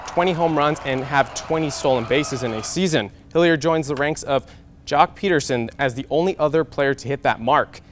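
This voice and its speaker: A young American man in his twenties anchoring a scripted TV sportscast with game highlights. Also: fairly bright tone, a little hiss or hum. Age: 20s.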